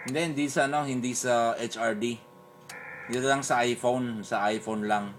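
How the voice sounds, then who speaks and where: A man with a Filipino accent talking casually as an amateur radio operator, in a hesitant, halting way. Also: medium pitch, fairly even intonation, a little hiss or hum. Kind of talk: casual two-way radio chat. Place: beside a radio set, recording it on a phone.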